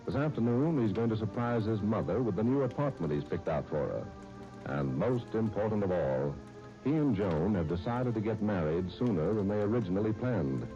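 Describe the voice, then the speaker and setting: Male 1930s American announcer; low, warm, measured narration, muffled broadcast with music behind. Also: lively intonation. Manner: formal, measured, polished delivery. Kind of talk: 1930s radio scripted narration.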